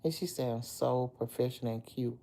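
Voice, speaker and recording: Middle-aged woman, low deep dark voice, slightly hoarse, chatty livestream host. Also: moderate pace. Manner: chatty, talks back to commenters by name. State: a little hoarse. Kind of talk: casual livestream chat with viewers.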